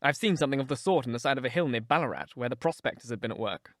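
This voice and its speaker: A man voicing a gentle, observant young gentlewoman in an audiobook story. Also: medium pitch, animated, racing, no echo, studio quality, close to an everyday voice. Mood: wondering.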